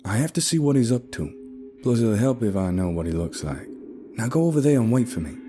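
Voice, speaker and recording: A man acts out a mouthy schoolboy in a horror story. The boy is sarcastic and jumpy, with a mocking, nervy way of talking that sits somewhat higher than the narration. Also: very animated, fairly quick, music in the background, lightly acted. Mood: nervous, bossy.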